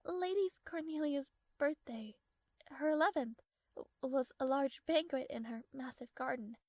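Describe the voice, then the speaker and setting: A high, girlish voice for a tsundere princess played by a woman, recorded dry and close to the ear. She is haughty and formal, guarded but fond, and easily flustered as she addresses the listener in a romantic audio roleplay. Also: measured pace, a little mellow, no echo. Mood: composed, matter-of-fact.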